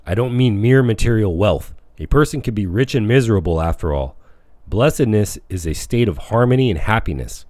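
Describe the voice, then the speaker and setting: A man narrating a self-help audiobook in a measured, emphatic way, phrase by phrase. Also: lowish voice, dark tone, dry recording. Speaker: audiobook reader.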